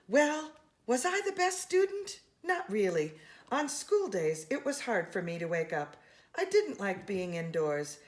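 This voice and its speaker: Lady reading a kids book out loud, really slow, pitch goes all over, super expressive. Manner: expressive, with gasps and brief asides to listeners. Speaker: children's storybook reader. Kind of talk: animated read-aloud storytelling for children.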